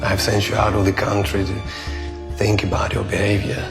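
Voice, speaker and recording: Gravelly, sullen, angry father. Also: on the low side, monotone, normal speed, over music. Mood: stern anger. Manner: stern, rejecting. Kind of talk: audio drama acting.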